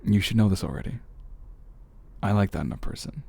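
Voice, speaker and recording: A man performs a confident, flirtatious big brother in an intimate ASMR roleplay. His voice is deep and dark with a crackly fry. He talks in a teasing, familiar way, like someone close by. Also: expressive, heavily acted. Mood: flirtatious, reassuring.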